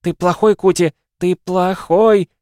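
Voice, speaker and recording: A man voicing a deranged ghostly mother in an audiobook, loud, drunken and accusing. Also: on the high side, normal speed, no room sound, studio quality, voice pushed up, close to an everyday voice. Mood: furious. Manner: loud, slurring, screaming.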